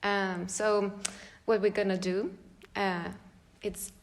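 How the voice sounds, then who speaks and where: A woman's voice: mid-range voice, flat delivery, slow pace. A woman from Sweden with a Swedish accent, a choreographer chatting as a podcast guest, thoughtful and searching for words with frequent laughter. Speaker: guest choreographer and dance teacher. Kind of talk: casual podcast conversation. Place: large room.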